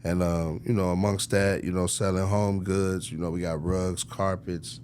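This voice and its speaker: A man's voice: sits low, flat delivery, moderate pace, a little mellow, a little hiss or hum. An American man being interviewed as a record executive, talking casually in slang with lots of conversational tags.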